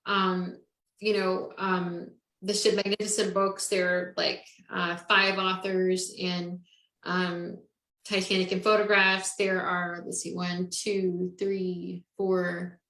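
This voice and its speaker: A woman hosts a relaxed interview, her talk full of fillers and quick laughs. Her voice sounds roomy, with a noticeable echo around it. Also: fairly low pitch. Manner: bubbly, filler-heavy, laughs often. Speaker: podcast host. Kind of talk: casual interview.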